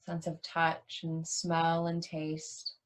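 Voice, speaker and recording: A woman leading a guided yoga nidra meditation as a teacher, soothing and unhurried, leaving long pauses between short phrases. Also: sits low, completely flat delivery.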